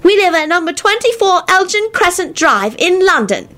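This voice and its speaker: Woman as a sulky little wizard boy, high-pitched. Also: sing-song, normal speed, pushed and bright, heavily acted. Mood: defensive.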